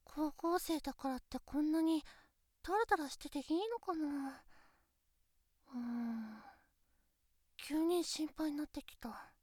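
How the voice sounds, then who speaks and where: A very high, girlish voice of a shy teenage girlfriend, acted by a young woman, talking slowly and softly as if lying next to the listener. The sound is close and completely dry, with no room around it. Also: no echo. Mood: musing. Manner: soft, intimate, pillow-talk delivery. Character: shy, insecure teenage girlfriend, female classmate. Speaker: voice actress. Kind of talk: Japanese situation voice drama. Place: studio.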